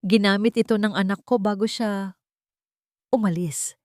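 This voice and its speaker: A woman's voice: medium pitch, steady tone, normal speed, no echo, studio quality, pitched above the performer's own voice, natural voice. A woman with a Filipino accent voicing an irritable, world-weary old landlady in a curt, scolding manner, in a narrated story. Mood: sad.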